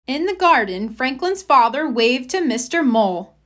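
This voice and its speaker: Female storybook reader: highish, animated, careful and expressive narration for young children. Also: normal speed. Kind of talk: children's read-aloud narration.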